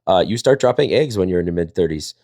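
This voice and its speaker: A man's voice: deep voice, animated, fast, a little mellow, dry recording, studio quality. A man, chatting and telling stories in a rambling, jokey way. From Wisconsin, United States. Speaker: storyteller and live panel host. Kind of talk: casual chat and storytelling.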